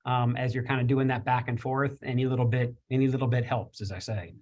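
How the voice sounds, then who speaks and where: A man's voice: mid-range voice, steady tone, a little fast, darkish tone. A man speaking on a career panel in a loose, rambling way, restarting and repeating words often. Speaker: panelist from a business chamber. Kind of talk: casual panel discussion.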